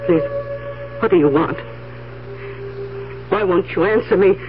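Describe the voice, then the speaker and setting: An actress playing an anxious, indignant elderly aunt in a radio drama, halting and repeating her words as she goes. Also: medium pitch, soft background music, lightly acted. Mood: frightened, indignant. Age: older. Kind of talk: 1970s radio drama acting. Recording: muffled, faintly hissy vintage radio recording.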